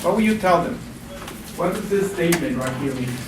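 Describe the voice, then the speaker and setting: A man's voice: fairly high pitch, lively intonation, fairly quick. A man in his forties from Guatemala and Costa Rica, giving a chatty financial literacy workshop and asking the audience questions. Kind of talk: classroom workshop presentation. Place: lecture room. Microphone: far camera. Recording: echoey room from far off, noisy.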